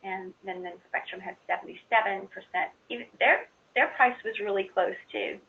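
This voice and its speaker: A woman presenting on an instructional conference call, explaining things informally and somewhat haltingly. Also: medium pitch, creaky. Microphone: conference call line. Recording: muffled narrow-band conference phone line.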